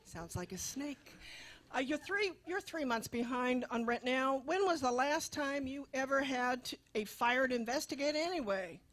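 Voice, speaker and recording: A woman acts a nagging, money-minded landlady in a comic radio play. Her voice sits a little high. She is dry, exasperated and always needling. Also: animated, normal speed, fairly bright tone. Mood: exasperated, sarcastic.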